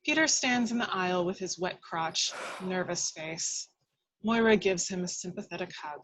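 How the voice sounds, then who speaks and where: A woman's voice: lowish voice, normal speed, fairly bright tone, rough recording. A woman reading stage directions as the narrator of a script table read, in a dramatic storytelling style.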